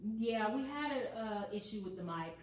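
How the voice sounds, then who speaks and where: A woman's voice: mid-range voice, moderate pace. Chatty woman demonstrating sewing in an echoey room. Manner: chatty and relaxed, thinking aloud while working; moves around the room, voice nearer and farther from the mic. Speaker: sewing channel host. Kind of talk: casual sewing tutorial livestream. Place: sewing office. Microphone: added mic, camera across the room. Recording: roomy echo, faint background hiss.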